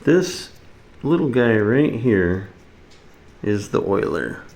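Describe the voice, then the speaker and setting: A man's voice: lowish voice, sing-song, slow pace, gravelly, a little mellow. A man casually demonstrating a hands-on repair, muttering to himself as he works. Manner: muttering to himself while working. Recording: faint background noise.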